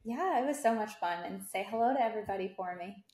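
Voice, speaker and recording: A woman's voice: medium pitch, expressive, fast, a little mellow. A woman speaking as a guest in a casual interview about her professional life. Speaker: podcast guest, dental services executive. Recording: echoey, roomy sound.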